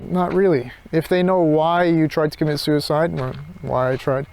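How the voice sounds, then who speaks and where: Hesitant, reflective man with higher-pitched voice. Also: fairly even intonation, normal speed, creaky, darkish tone, a little hiss or hum. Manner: hesitant, searching, circling back over phrases. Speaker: philosophy vlogger. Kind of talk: unscripted reflective monologue.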